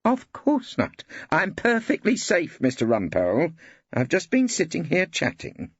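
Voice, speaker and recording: An audiobook matron, middle-aged and briskly cheerful, acted by a man. The pitch swoops high and chatty with a prim edge, and the recording is slightly dull. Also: huge pitch swings, breathy edge, no room sound, full character voice. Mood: brisk, unruffled.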